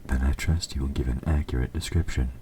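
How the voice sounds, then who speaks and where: A man playing an evil, possessive king in an audio roleplay, speaking formally and with measured, quiet menace, his S sounds sharp. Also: rumbling low, steady tone, a little hiss or hum. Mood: coolly testing. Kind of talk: scripted audio roleplay, intimate dramatic monologue.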